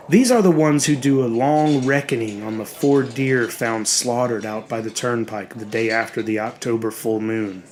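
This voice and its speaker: A man reading a horror story as a dramatic audiobook narrator, in a measured, expressive way with deliberate pauses. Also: mid-range voice, slight background noise. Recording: music bed under the voice. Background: background music.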